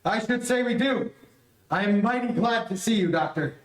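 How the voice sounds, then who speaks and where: A man's voice: high voice, moderate pace, close to an everyday voice. A man playing an earnest, plucky young adventure hero in an old radio serial. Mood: earnest, eager. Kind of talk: 1930s radio serial acting. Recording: echoey room.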